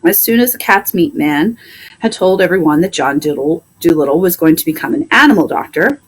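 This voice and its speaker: A woman reading a children's story aloud as an expressive storyteller. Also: lowish voice, a little fast, husky, poor audio.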